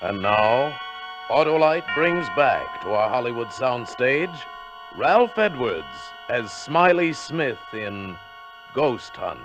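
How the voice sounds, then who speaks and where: A male American announcer introduces a vintage radio drama in a scripted, theatrical style. He speaks very slowly with sweeping intonation, over prominent music. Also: mid-range voice, sing-song, highs cut off.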